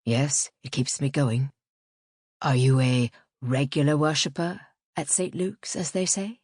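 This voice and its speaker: A woman's voice: very deep voice, fairly even intonation, unhurried, a little mellow, breathy edge, no room sound, studio quality, pitched below the performer's own voice, heavily acted. A woman voicing a charming, educated man in an audiobook, speaking in a wry, teasing, languid way. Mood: wry, flirtatious. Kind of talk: audiobook character voice.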